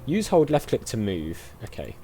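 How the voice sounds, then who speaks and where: A man's voice: fairly low pitch, huge pitch swings, moderate pace, mellow, a little hiss or hum. A man hosting a gaming video, giving casual let's play commentary and reading dialogue options aloud.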